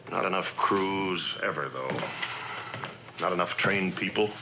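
A man's voice: deep voice, a little slow. A man acting a stern, demanding Air Force general in a vintage suspense drama, with a clipped, commanding, admonishing manner. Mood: firm, lecturing. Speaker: actor in a radio and television drama. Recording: vintage drama soundtrack with background noise.

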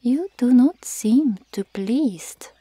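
A woman playing a nosy, teasing Amazon warrior sister in an ASMR roleplay, speaking softly and often whispering. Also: fairly high pitch, animated, slow, no echo, full character voice, rough recording. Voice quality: soft, breathy, often whispering. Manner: inquisitive, needling.

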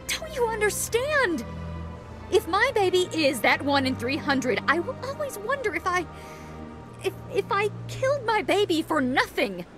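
Sad defiant young widow from a video game, highish voice, wounded and firm, music underneath. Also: female voice. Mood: distraught. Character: grieving, defiant young widowed expectant mother. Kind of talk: video game drama acting.

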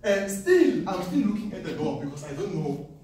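A young man from South Africa giving an animated, boastful trading seminar to students, often laughing at his own lines. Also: high-pitched, normal speed, slight background noise. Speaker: forex trading speaker. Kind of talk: live seminar talk to students. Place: classroom with seated audience. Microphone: far camera, no mic. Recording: echoey classroom from far off.

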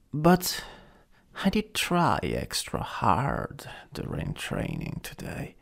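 A man's voice: medium pitch, creaky, exaggerated voice. A man with an Italian accent playing a jealous, possessive, taunting supervillain in an ASMR roleplay, drawling and teasing, sometimes dropping to a whisper. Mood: mocking.

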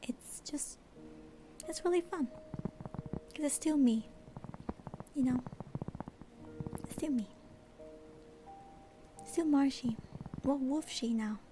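A young woman streamer with a slight accent, chatting with viewers in a soft, airy ASMR whisper, relaxed and rambling. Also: high-pitched, slow, pauses often, music in the background. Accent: slight non-American accent. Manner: relaxed, rambling, reads chat aloud. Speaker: VTuber streamer.

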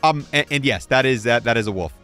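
A man's voice: medium pitch, fast talker, bright, forceful tone. A man giving casual, matter-of-fact video game review commentary. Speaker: gaming video creator. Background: music.

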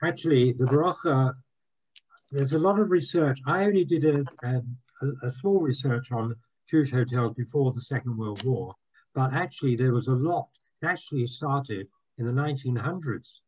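A man's voice: medium pitch, darkish tone, breathy edge, highs cut off. An older man, the guest speaker, giving a talk and taking questions on a video call in a room at home, in a friendly, chatty way. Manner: friendly, chatty, quick to agree.

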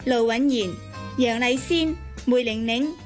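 A woman's voice: on the high side, a little slow. A woman with a Hong Kong Cantonese accent reading scripted programme credits as a radio announcer. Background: music.

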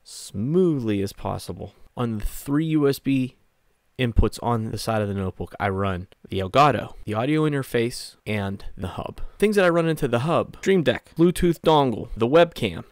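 A man's voice: medium pitch, lively intonation, normal speed, no echo. A man in his thirties giving a casual tech tip to camera as a streamer, playful and self-deprecating, close on a desk microphone. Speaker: streamer and video host.

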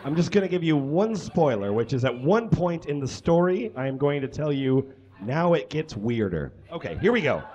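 A man's voice: medium pitch, darkish tone. A young man reading aloud theatrically on a small stage, emphatic and sometimes tripping over his words. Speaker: live reader on stage. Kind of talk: comic dramatic reading of fan fiction to an audience. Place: small indoor stage with curtains. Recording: slightly muffled live stage recording.

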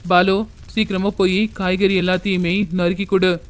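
A man's voice: high voice, level tone, moderate pace, lightly acted. A man playing a stern, impatient hotel owner in a cartoon story, commanding and scolding. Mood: bossy.

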